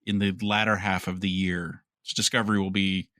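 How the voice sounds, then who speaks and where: Male podcast host, low bright voice, dry close sound, casual speculative news chat. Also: little pitch movement, brisk, dry recording.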